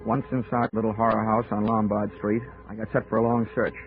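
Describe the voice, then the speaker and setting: A man's voice: lowish voice, little pitch movement, brisk, close to an everyday voice. An actor playing a hard-boiled male insurance investigator, narrating with dry wisecracks and blunt tough-guy talk in 1940s radio acting. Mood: wry. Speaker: narrator and lead. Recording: tinny old radio broadcast with music.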